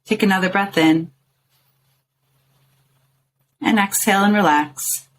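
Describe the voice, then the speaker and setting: A woman leading a guided visualization in a soothing, gently coaxing way, with short phrases and pauses. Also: mid-range voice, slight background noise, poor audio. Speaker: meditation guide and show host.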